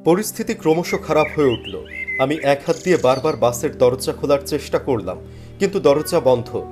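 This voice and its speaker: A man's voice: a little high, animated, moderate pace, a little mellow. A man giving dramatic, scripted first-person narration of a story. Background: background music.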